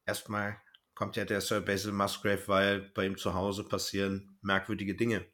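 Low-voiced man chatting. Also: monotone, moderate pace, fairly bright tone. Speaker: podcast host discussing an audio drama. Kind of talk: casual conversational review.

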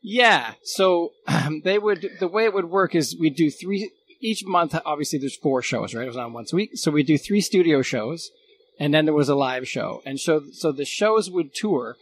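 A man's voice: on the high side, lively intonation, moderate pace. A man chatting casually as a guest, reminiscing earnestly and often rephrasing as he goes. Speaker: guest, former radio producer.

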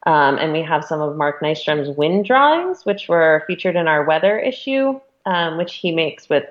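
A woman's voice: deep voice, big pitch swings, normal speed, highs cut off. A woman being interviewed as an editor, speaking informally and thinking aloud as she goes. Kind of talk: casual interview conversation.

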